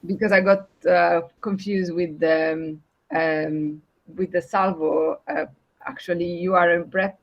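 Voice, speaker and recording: A woman speaks English with an Italian accent, her voice sitting a little low for a woman. She presents slides to an online meeting, halting often with filler sounds as she searches for words. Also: fairly even intonation, highs cut off. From Italy. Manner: hesitant, many filler sounds and restarts. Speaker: project partner presenting at a meeting.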